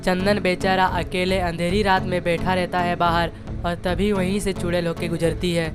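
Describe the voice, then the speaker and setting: Even-toned female story narrator over music. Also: lowish voice, moderate pace. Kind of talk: scripted children's story narration.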